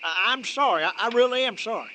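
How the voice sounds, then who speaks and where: A man acting a folksy, easily spooked rural truck driver, stammering and excitable, in an old-time radio horror comedy. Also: high voice, fast, background noise. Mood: apologetic, flustered. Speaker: actor in radio drama.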